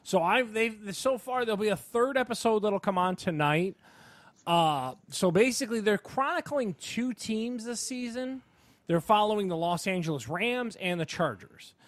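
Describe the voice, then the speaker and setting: A man's voice: high voice, expressive, moderate pace, no room sound. A man co-hosting a casual sports and entertainment podcast, talking in an enthusiastic, emphatic, rambling way. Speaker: podcast co-host.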